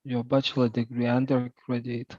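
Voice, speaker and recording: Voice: mid-range voice, flat delivery, normal speed, no room sound. Admissions representative, patient, hesitant, dull lo-fi audio, dry sound. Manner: patient and helpful, with many hesitations. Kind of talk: answering applicant questions.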